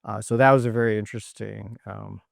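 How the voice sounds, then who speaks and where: A middle-aged man from Canada giving thoughtful, halting answers as a guest in an interview. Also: on the low side, steady tone, gravelly, no room sound, clean studio sound. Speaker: podcast guest, technology executive.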